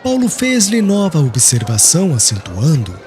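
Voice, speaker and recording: A man's voice: on the high side, wildly swooping pitch, a little slow, a little mellow, slight background noise. A man narrating an audiobook in a solemn, literary style.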